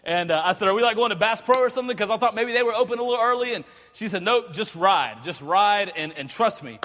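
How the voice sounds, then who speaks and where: A man, preaching an excitable, joking sermon and often stammering and repeating words. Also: sits high, quick pace, big-room sound. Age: 30s. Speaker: pastor. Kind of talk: energetic sermon. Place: large church hall.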